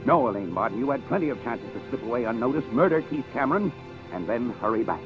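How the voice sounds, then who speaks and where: Man acting a relentless radio police inspector, animated delivery. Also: mid-range voice, quick pace, lightly acted. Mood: stern, triumphant. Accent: American. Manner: methodical, accusatory, drily self-deprecating. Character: shrewd, relentless male police inspector cornering murder suspects. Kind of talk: 1950s radio drama acting. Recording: muffled vintage radio broadcast with music.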